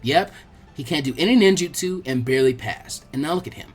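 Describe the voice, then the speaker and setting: A man puts on a young ninja girl for a storybook reading, raised in pitch and animated. She is friendly, frank and casually encouraging. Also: brisk, breathy edge, soft background music. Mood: reassuring. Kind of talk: fan fiction audiobook character voice.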